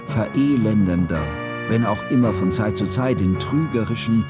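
A man acting in a radio drama as a grave, reflective narrator recalling a war in formal, measured literary language. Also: lowish voice, over loud music, natural voice. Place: studio.

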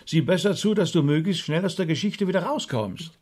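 An actor playing a blunt, sceptical rabbi in a radio drama, with a husky voice. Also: male voice, sits high, lively intonation, a little fast, a little mellow. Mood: exasperated, reproachful.

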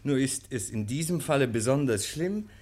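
A man's voice: mid-range voice, lively intonation, moderate pace, a little mellow, lightly acted. A man playing an urbane, needling legal counsellor in a German radio play, ironic, teasing and suave. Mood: mock earnest.

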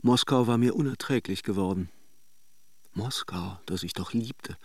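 An actor playing a brooding, introspective lover in a radio drama, speaking measured, self-questioning lines. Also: male voice, fairly low pitch, no room sound, studio quality. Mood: wry, melancholy. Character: brooding male narrator-lover arguing with his own doubts. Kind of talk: literary radio drama monologue.

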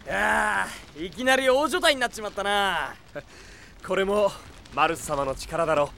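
High, breezy young soldier. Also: male voice, normal speed, gravelly, pushed and bright, slight background noise, full character voice. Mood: cheerful, excited. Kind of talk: Japanese anime-style audio drama acting.

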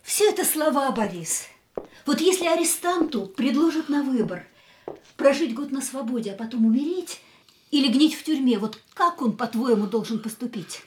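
A woman torn yet determined to leave the man who loves her. Her high voice is earnest and pleading, in the dramatic manner of a radio play. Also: animated, moderate pace. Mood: yearning, insistent. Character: anguished, resolute woman leaving the man she loves. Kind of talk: Russian radio play acting.

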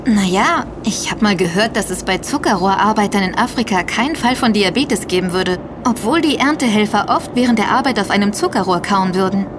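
A woman's voice: medium pitch, lively intonation, a little fast, few pauses, background noise, natural voice. An actress playing an informed, inquisitive woman who speaks in an explanatory way in a scripted audio drama.

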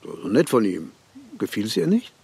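Police detective, lowish pitch, animated, wry, needling, radio drama. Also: male voice, normal speed, gravelly, slightly rough, no room sound, full character voice. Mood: sardonic. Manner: sardonic, probing. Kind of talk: radio crime drama, monologue and interrogation.

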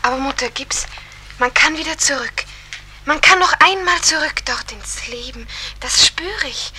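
An actress playing a wistful, restless young farm wife newly dead, in a radio drama. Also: female voice, high voice, measured pace, pushed and bright, exaggerated voice. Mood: longing, insistent. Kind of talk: theatrical radio drama acting.

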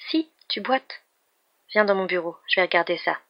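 A storybook narrator voicing a confident, bossy but kind young woman doctor with a brisk, professional manner. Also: medium pitch, fairly even intonation, gravelly, no room sound, lightly acted. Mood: brisk, insistent. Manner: professional, matter-of-fact, commanding. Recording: dull, muffled lo-fi recording.

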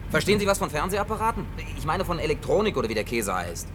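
A man's voice: fairly high pitch, racing, far from the mic, music in the background. Awkward, grumbling man, slightly off mic. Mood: startled, agitated. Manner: hesitant, gruffly excitable, colloquial. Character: awkward, grumbling male drifter, last man on earth. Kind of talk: German science-fiction radio play acting.

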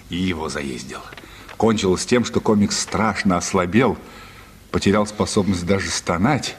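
A man's voice: low voice, a little hiss or hum. A man narrating a literary radio play as a dramatic storyteller, with long reverb around his voice.

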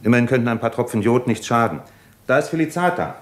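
A man playing an introspective young doctor in a radio drama, with a husky, breathy voice. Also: mid-range voice, animated, quick pace, natural voice. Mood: matter-of-fact. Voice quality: husky, breathy, at times near whisper. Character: young male doctor, brooding, introspective, haunted. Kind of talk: German radio drama acting, inner monologue.

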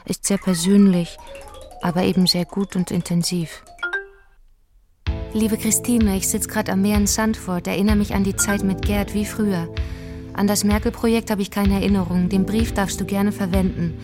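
A woman narrating a radio feature, calmly reading terse notes and letters aloud. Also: mid-range voice, normal speed, music in the background. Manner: terse, reads fragmentary keywords.

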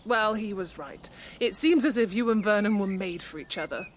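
A woman's voice: mid-range voice, moderate pace, natural voice. An actress playing a blunt, idealistic young bohemian woman in a radio drama, speaking in firm declarations. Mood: wry. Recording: loud background noise.

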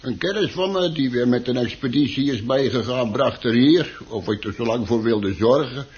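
An actor in a radio play playing a chatty, grumbling plant shop keeper who hesitates, stammers and repeats himself. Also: male voice, medium pitch, normal speed, darkish tone, lightly acted. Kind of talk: Dutch radio play acting. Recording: dull, muffled old radio recording.

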